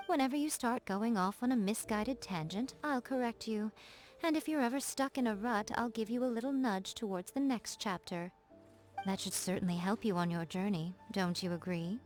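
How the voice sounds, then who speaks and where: A woman playing a sly, elegant fox spirit shrine maiden in a video game, coy and self-assured, in measured teasing phrases. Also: mid-range voice, darkish tone, lightly acted. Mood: fond, musing. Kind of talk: English video game character voice acting. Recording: music behind the voice. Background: background music.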